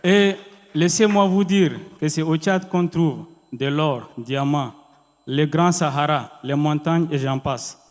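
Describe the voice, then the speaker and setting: A young man delivers a proud, lively welcome in a slightly high voice. The sound carries the long reverb of a large ceremony hall. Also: slow. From Chad. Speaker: student speaker. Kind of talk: formal ceremonial welcome speech.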